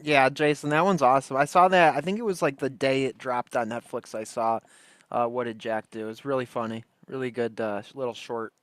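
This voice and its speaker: A man's voice: medium pitch, steady tone, brisk, slight background noise. A man hosting a livestream, chatting casually with viewers. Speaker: livestream host.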